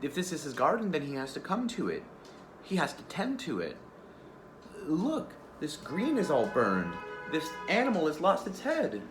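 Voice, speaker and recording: A man's voice: on the high side, wildly swooping pitch, moderate pace, over music, voice pushed up. A wounded little girl ghost, curious and insistent, asking question after question, as a man puts her on. The voice is pushed far above his own. It sounds roomy and echoey. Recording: echoey room.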